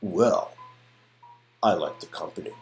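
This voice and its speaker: A man playing a flirty, excitable and slightly nervous theatergoer on a date in a story game. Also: very deep voice, a little slow, vocal fry, soft background music, pitched below the performer's own voice, full character voice.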